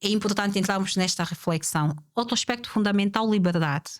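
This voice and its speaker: A woman guest on a podcast conversation, speaking reflectively, repeating her key points and posing rhetorical questions in a measured way. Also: medium pitch.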